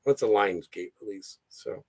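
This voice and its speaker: A hoarse man hosting a casual livestream, rambling and easily sidetracked as he chats and reads out viewer comments. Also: fairly low pitch, normal speed, a little mellow. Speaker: livestream host.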